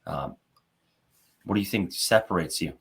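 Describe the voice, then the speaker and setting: A man hosting a podcast, talking in a relaxed, conversational way and asking his guest questions. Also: low voice, moderate pace, breathy edge. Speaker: podcast host. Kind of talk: casual podcast interview.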